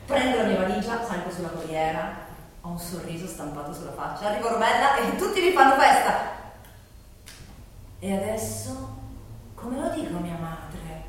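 A woman's voice: mid-range voice, expressive, moderate pace, far from the mic, natural voice. A woman playing an earnest young left-wing woman in a dramatic monologue, with a declarative delivery. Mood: excited, anxious. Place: large reverberant room, distant mic. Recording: echoey hall from afar, noisy.

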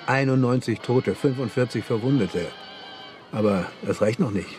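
An actor playing a cynical, quietly menacing intelligence agent in a radio crime drama, dry and insinuating. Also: male voice, mid-range voice, normal speed, faint music behind, natural voice.

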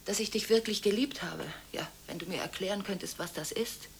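A woman's voice: medium pitch, a little fast, slight background noise, lightly acted. An actress playing an agitated, contradictory woman in a German radio drama, talking impulsively through one side of nonstop phone calls. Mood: hushed, brooding. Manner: breathless, impulsive, swinging between protest and hushed brooding.

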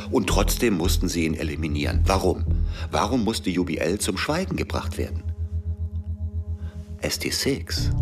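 An actor playing an agitated, suspicious man in a German radio drama, brooding in broken phrases and pressing rhetorical questions. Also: low voice. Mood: bitter, agitated. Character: agitated, suspicious man piecing together a cover-up.

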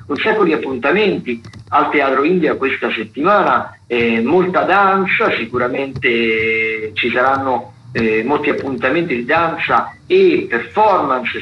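A man presents listings on a lo-fi, slightly muffled radio recording with some noise in it. His pitch is mid-range, and he talks in a halting, semi-scripted way, stopping and correcting himself as he goes. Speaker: radio host of a theatre programme. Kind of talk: semi-scripted spoken listings of theatre shows. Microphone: radio studio microphone.

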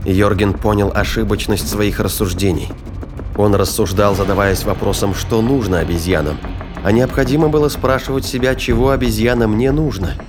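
A man's voice: fairly low pitch, normal speed. A man narrating a science fiction audio drama in a scripted storytelling style. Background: music.

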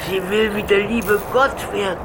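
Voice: medium pitch, steady tone, moderate pace, distant mic, background noise, voice pushed up, close to an everyday voice. A storyteller voicing a pleading, fearful fisherman husband in a storybook fairy tale. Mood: anguished.

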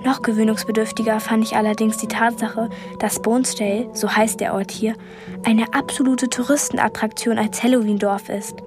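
A woman's voice: a little high, level tone, moderate pace, over music, lightly acted. An actress playing a plucky, curious twelve-year-old girl in a radio play, direct and insistent. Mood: wry narrating. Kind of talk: radio play acting and narration.

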